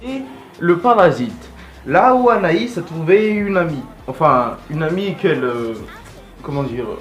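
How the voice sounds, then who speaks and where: A man's voice: fairly high pitch, animated, a little slow. A male host giving casual, rambling and blunt fan commentary in an informal vlog. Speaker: video host.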